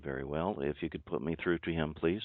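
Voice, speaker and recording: A man with a low voice speaks over a dry, narrow telephone connection. His way of talking is formal and deliberate, with an insistent, questioning edge of a caller who wants answers. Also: steady tone, no echo. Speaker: caller introducing himself as a judge. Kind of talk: formal phone conversation. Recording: tinny band-limited phone line.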